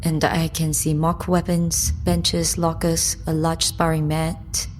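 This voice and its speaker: A woman acting in an audio drama as a calm, curious undead young fighter with a measured way of talking. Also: low-pitched, a little hiss or hum.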